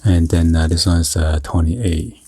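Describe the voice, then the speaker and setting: A man giving halting, murmured ASMR commentary as he hosts an unboxing, with short item-by-item remarks. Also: low voice, a little mellow, slight background noise. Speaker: unboxing video host.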